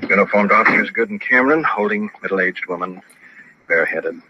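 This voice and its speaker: Low-voiced American radio police reporter, noisy recording. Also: male voice, fairly quick. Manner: terse, descriptive present-tense reporting. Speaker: police recorder and show host. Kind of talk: on-the-scene documentary radio narration. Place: police car out in the field. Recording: noisy, dull old radio field recording.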